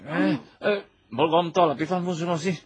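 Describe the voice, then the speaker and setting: Evasive, sighing Cantonese husband. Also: male voice, on the high side, steady tone, fast, breathy edge. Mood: coaxing. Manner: sighing, wheedling, dismissive. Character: evasive, tipsy, drowsy husband coaxing his wife. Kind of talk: Cantonese radio drama acting.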